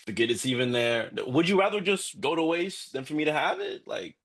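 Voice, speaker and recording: A man acting as a lovestruck young man at the end of his patience in a dark comedy script read. His voice is husky and a little high, with pitch that jumps around. He argues defensively, in halting bursts. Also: big pitch swings, exaggerated voice. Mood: exasperated. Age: young adult. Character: lovestruck, exasperated young man in a crumbling relationship.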